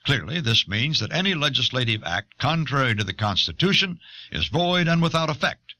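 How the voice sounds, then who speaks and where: An American man reading scripted documentary audiobook narration in a measured, formal, emphatic way. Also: mid-range voice, very animated, pushed and bright, no room sound.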